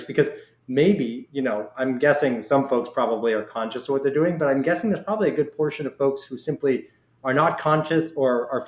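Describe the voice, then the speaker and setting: Podcast host: man, mid-pitched, conversational questioning, recorded in a noticeably roomy space. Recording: echoey, roomy sound.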